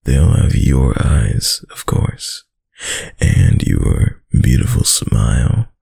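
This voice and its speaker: Male actor as tough but tender mafia boyfriend, extremely low voice, unhurried, intimate ASMR roleplay, close dry mic. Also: expressive, dark tone, dry recording, full character voice. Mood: earnest, devoted. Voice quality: very deep, gravelly voice. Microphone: close, dry microphone.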